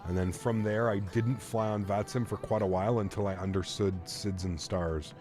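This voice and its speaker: Relaxed low-voiced man chatting on stream. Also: normal speed, over music. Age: 30s. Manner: relaxed, chatty, thinks aloud with fillers. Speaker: flight sim streamer. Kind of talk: casual live-stream chat reading viewer comments.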